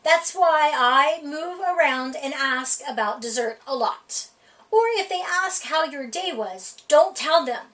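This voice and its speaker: A woman reading a children's picture book aloud for a library storytime, with a playful, expressive delivery. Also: sits high, slow. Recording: voice heard from a distance off the mic.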